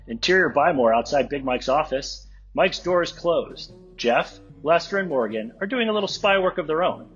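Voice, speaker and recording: A man's voice: on the high side, lively intonation, moderate pace, fairly bright tone, slightly rough, lo-fi sound, faint music behind. A man reading screen directions aloud at a live table read.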